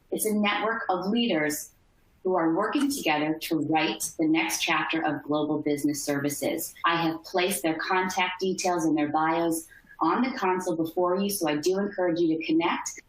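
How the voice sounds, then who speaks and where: A woman's voice: on the low side, roomy sound, low-quality recording. A woman hosting a business webinar who introduces the guests in a scripted, upbeat way. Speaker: webinar host. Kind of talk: host introduction.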